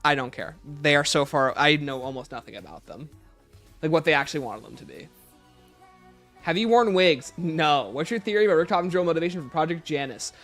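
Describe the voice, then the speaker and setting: Male streamer, higher-pitched and lively, casual Q&A delivery, faint music underneath. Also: a little fast. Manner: informal, thinks aloud. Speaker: livestream host. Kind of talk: casual livestream Q&A, reading out and answering chat questions.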